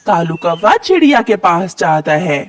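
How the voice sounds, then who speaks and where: A woman's voice: on the low side, big pitch swings, measured pace. A woman reading scripted story narration for a children's cartoon.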